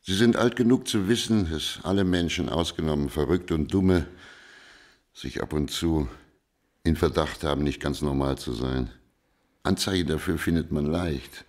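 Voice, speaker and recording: An actor playing a blunt, unsentimental middle-aged private detective in a radio drama, brusque and matter-of-fact. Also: male voice, extremely low pitch, moderate pace, darkish tone. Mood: firm, dryly reassuring.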